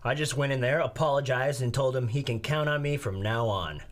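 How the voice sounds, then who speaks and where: A man's voice: medium pitch, brisk, close to an everyday voice. A man playing a plain-spoken office worker and family man in an audio drama. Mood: earnest. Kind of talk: scripted audio drama acting, naturalistic.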